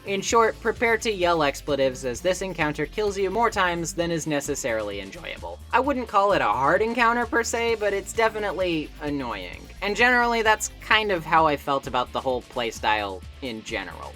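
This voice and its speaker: A woman with a notably low voice delivers scripted review narration. Her intonation moves around a lot, with wry, opinionated asides in a conversational manner. Faint music plays behind her. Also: very animated, fairly quick, few pauses, a bit bright. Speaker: video essay host and game reviewer. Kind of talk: scripted video essay narration. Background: background music.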